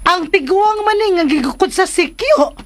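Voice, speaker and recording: A woman playing a protective, sharp-tongued middle-aged mother in a Filipino radio drama, with questioning, scolding interjections. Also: extremely high pitch, animated, moderate pace, a little hiss or hum. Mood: suspicious scolding.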